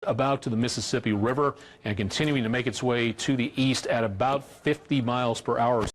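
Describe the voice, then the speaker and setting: A man's voice: medium pitch, fairly even intonation, a little fast. A man speaking off the cuff as a weather forecaster, giving live commentary.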